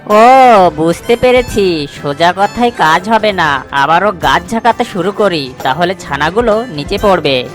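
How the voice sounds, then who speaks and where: A woman voicing a sly, greedy, bullying fox in an animated moral story, with a threatening, taunting manner. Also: fairly low pitch, unhurried, faint music behind. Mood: menacing.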